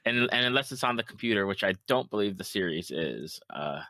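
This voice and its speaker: Male podcast host, 30s to 40s: lowish pitch, forward bright tone, casual interviewing. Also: animated, fairly quick, dry recording.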